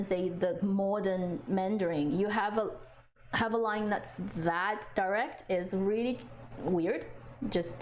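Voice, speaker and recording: A woman's voice: medium pitch, a little mellow, slight background noise, rough recording. A woman whose first language is Chinese, chatting casually on a live stream and answering viewers. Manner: chatty, frequent restarts, laughs often.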